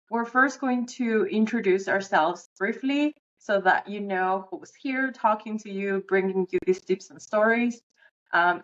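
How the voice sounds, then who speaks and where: A woman in her thirties, from Venezuela, co-hosts a podcast in a relaxed, explanatory way. Her voice sits at a middle pitch. The recording sounds a little dull and lo-fi. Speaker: podcast co-host. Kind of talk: casual podcast chat.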